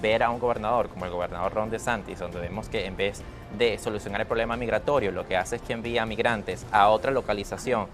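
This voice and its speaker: Low-voiced young Venezuelan man. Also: steady tone, fast talker, few pauses, music in the background. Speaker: young voter. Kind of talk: opinion in a filmed discussion.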